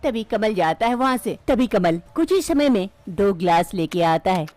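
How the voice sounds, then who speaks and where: A woman narrating a cartoon story in a steady, plain storytelling manner. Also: mid-range voice, moderate pace.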